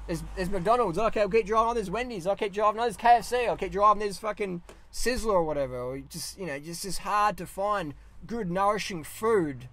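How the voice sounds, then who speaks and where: A man's voice: high-pitched, fairly quick, hardly any pauses. A young Australian man ranting bluntly and swearing often, talking to a camera on the dashboard of his parked car. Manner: blunt, swears a lot, animated hand gestures. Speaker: vlogger. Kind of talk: casual ranting vlog.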